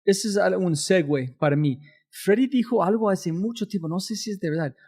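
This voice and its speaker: A man hosts a casual interview in a friendly tone, with a slightly higher voice. He asks long questions that wander before landing. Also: a little fast, mellow, husky. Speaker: interview host.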